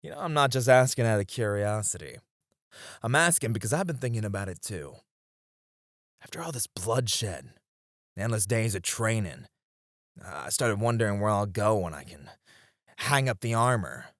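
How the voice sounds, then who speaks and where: A man's voice: lowish voice, animated, normal speed, pauses often, fairly bright tone, dry recording, studio quality. A man playing a seasoned knight, a wistful, teasing sparring comrade in a romantic roleplay, with reflective, hesitant phrasing. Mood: wistful, sincere. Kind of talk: romantic ASMR roleplay acting.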